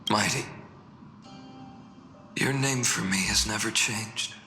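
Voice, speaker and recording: An actor playing a weary, resigned deliverer in a video game, worn down by countless doomed cycles and speaking gravely. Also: male voice, on the low side, takes it slowly, sharp tone, heavily acted. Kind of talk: dramatic video game voice acting. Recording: reverberant, echoey hall sound. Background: faint music.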